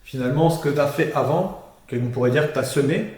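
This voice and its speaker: A man's voice: medium pitch, a little fast, a little mellow. A male interview host who prompts his guest and speaks directly to the audience with encouragement. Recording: very echoey room.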